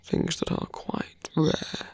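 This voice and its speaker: A performer voicing a suave, menacing male villain in an intimate ASMR roleplay, slow and teasing, with a breathy, gravelly voice that often sinks to a near-whisper. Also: darkish tone, no room sound, lightly acted. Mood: persuasive, condescending. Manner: slow, deliberate, teasing, condescending.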